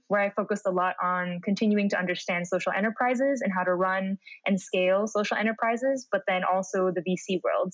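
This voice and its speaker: A woman's voice: medium pitch, dry recording, highs cut off. Upbeat young woman, dry sound. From Philippines. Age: young adult. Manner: upbeat, chatty, frequent "like". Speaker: guest. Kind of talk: casual interview chat.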